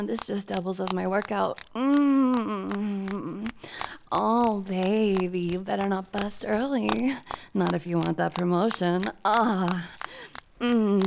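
A dominant, teasing female boss played by a woman in an intimate audio roleplay for adults. Her voice is gravelly with fry and she takes her time, breathing audibly and slipping toward whispers. Clear noise sits behind her. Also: mid-range voice. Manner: breathy delivery with sighs and drops into whispers.

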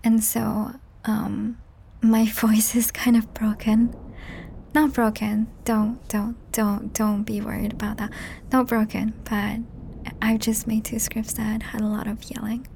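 A young woman hosting a whispered, ASMR-style chat podcast, rambling softly with giggles, her voice strained and cracked. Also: a little high, little pitch movement, unhurried, vocal fry, slight background noise. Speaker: podcast host and audio creator. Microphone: high-end studio microphone.

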